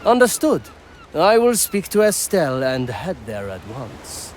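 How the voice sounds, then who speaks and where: Polite proud assassin chef in a game, slow formal delivery, pitch moves a lot, faint noise. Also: male voice, wildly swooping pitch. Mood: composed. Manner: formal, measured. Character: courteous, proud Japanese assassin and ship's cook.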